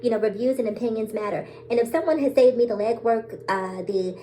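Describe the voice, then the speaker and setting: A woman's voice: on the high side, fairly quick, mellow, slight background noise, low-quality recording. Sassy, bold woman vlogger. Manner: sassy, bold, laughs often; addresses viewers as girl, sis, baby. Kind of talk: casual to-camera monologue.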